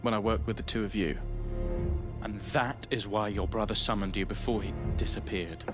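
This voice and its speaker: An actor with a British accent playing a weary, earnest Victorian police inspector in a video game, speaking in a grave, formal, measured way. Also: male voice, on the low side, fairly even intonation, over music, lightly acted. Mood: grave, urgent. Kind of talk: dramatic video game cutscene acting.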